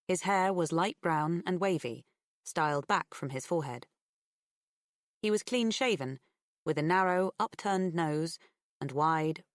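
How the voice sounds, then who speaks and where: A woman's voice: deep voice, dry recording, studio quality. A woman reading scripted fiction as an audiobook narrator.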